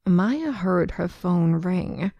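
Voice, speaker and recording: A woman's voice: on the low side, expressive, extremely slow, dry recording, dull audio. A woman narrating a scripted story for an amateur audio drama.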